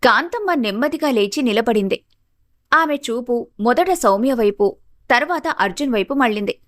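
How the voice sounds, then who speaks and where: High-pitched woman narrating a story, dry sound. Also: animated, moderate pace, a bit bright, no echo.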